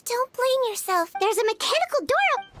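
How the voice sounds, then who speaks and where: A woman voicing a small, gentle, earnest young nurse in a video game, speaking politely and inquisitively. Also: very high-pitched, very animated, brisk, slight background noise, full character voice. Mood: concerned, reassuring. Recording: game music underneath.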